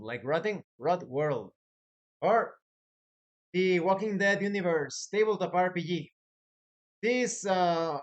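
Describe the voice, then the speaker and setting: This is a man with a noticeably high voice for a male speaker. He talks casually, as the host of a hobby channel sharing advice. His delivery is deliberate and emphatic, and he repeats key phrases to stress them. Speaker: host of a tabletop roleplaying channel.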